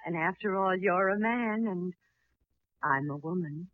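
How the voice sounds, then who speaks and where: An actress playing a seductive, demanding showgirl diva with a quick temper, coaxing and imperious, in a radio comedy. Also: fairly low pitch, dry recording, close to an everyday voice. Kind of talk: mid-century radio comedy acting. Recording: muffled, noisy old radio recording.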